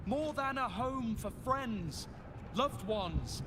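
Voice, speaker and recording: An actor playing a resolute city commander in a fantasy video game, speaking in a solemn, declamatory, stirring way. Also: male voice, very high voice, animated, slow, background noise, exaggerated voice. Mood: solemn, rousing. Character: resolute male city commander rallying weary fighters. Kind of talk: video game cutscene acting, rousing speech.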